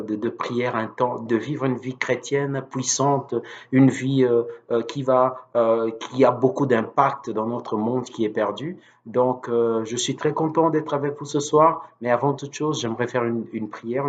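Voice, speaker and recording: A man's voice: medium pitch, slight background noise. A man who is a native Lingala speaker preaches informally on video, earnest and devout, hesitating and repeating words as he goes. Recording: dull, lo-fi sound.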